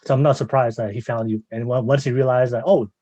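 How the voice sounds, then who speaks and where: A man's voice: mid-range voice, steady tone, fast, mellow, no echo, highs cut off. A man hosting a podcast in a casual interview style, rambling as he repeats and rephrases what he says. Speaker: podcast host.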